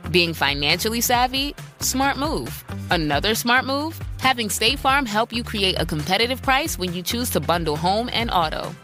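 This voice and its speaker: A woman's voice: fairly high pitch, lively intonation, moderate pace. A woman announcer reading a scripted advertisement. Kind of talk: scripted ad read. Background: music.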